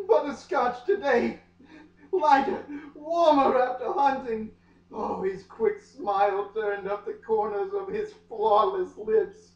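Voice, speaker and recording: Male reader, slow and overwrought, comic dramatic delivery with long pauses; muffled, distant room recording. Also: very high-pitched, a little mellow. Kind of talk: melodramatic comic dramatic reading of a novel. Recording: echoey room, far from mic, muffled.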